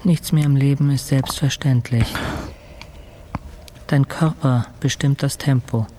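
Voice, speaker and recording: An actor playing a weakened male patient, narrating his recovery in terse, measured fragments as a radio drama monologue. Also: mid-range voice, fairly even intonation, dark tone, slight background noise, close to an everyday voice. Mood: weary, sober. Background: music.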